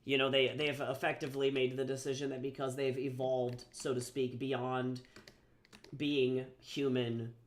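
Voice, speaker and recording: A man's voice: mid-range voice, level tone, moderate pace. A man who is a little sick, chatting casually and rambling with swearing and fillers while drawing on a livestream. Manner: rambling, profane, many fillers and restarts. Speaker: livestreaming artist and author.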